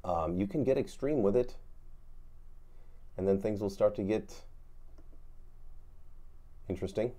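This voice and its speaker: A man's voice: on the low side, a little slow, long pauses, mellow. A man giving an off-the-cuff, casual guitar effects tutorial, laid-back and rambling as he searches for words. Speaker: guitar effects demonstrator, host.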